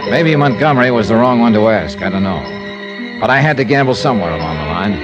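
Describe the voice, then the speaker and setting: An actor playing a shrewd, dogged insurance investigator, narrating his case in brisk hardboiled old-time radio style. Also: fairly low pitch, animated, lo-fi sound, natural voice. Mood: wry, brooding. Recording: old radio show with music.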